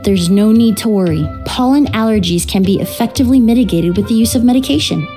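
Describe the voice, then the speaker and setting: A woman voicing a calm, courteous young perfumer in a video game, speaking in a poised, explanatory way. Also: on the high side, fast, natural voice. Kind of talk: game voice acting. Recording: music behind voice.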